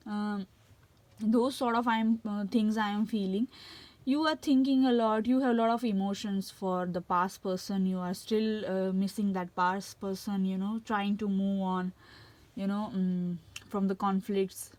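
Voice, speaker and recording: A woman's voice: mid-range voice, steady tone, darkish tone, dry recording, poor audio. A woman with an Indian English accent and a cold gives a casual tarot reading, with frequent fillers and restarts. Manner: halting, many fillers and restarts. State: has a cold. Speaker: tarot reader.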